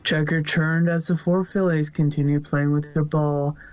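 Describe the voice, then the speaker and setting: A man's voice: fairly high pitch, flat delivery, moderate pace, a little mellow. A man narrating fan fiction as a scripted story reading. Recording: muffled, dry lo-fi recording.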